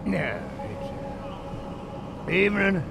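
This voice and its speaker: A man's voice: sits high, animated, takes it slowly, gravelly, very noisy, exaggerated voice. An actor playing a cowardly, loyal old pirate crewman who stammers in salty sea talk for a radio horror play. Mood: wheedling, loyal.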